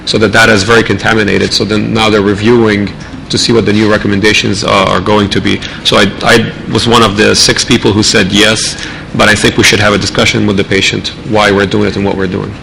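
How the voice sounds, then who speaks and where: Male professor on a panel, low flat gravelly voice with a bright edge, fluent and reasoned. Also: a little fast, slight background noise, low-quality recording. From Lebanon and the United States. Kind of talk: conference panel discussion.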